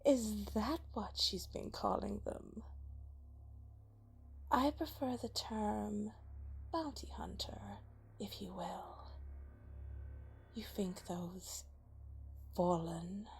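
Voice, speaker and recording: A woman playing a cunning, vengeful female spirit of darkness in an ASMR roleplay, speaking in a breathy, taunting, deliberate and persuasive way. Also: medium pitch, full character voice. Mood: mocking, menacing. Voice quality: breathy whisper. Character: cunning vengeful female dark spirit, seductive punisher of the guilty.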